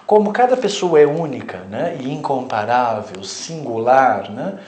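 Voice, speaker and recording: A man's voice: mid-range voice, big pitch swings, unhurried, a little mellow, echoey room. A Brazilian man, a therapist, explaining his spiritual ideas in an interview, reflective and often adding "né". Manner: reflective, explanatory, frequent "né" tags. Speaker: therapist, guest.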